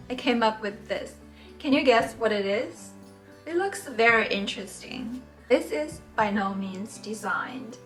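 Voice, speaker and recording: Gentle Chinese-born woman teaching knitting. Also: mid-range voice. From China. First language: Mandarin. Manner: gentle, earnest and encouraging. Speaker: knitting tutorial host. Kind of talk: friendly instructional talk.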